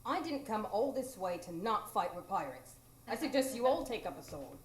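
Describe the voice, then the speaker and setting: A woman's voice: mid-range voice, very animated, normal speed, close to an everyday voice. A woman playing a feisty, combative housekeeper in a live radio drama. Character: Missus Hudson, feisty housekeeper eager to fight pirates. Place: improv theatre stage, live audience. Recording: roomy live stage recording.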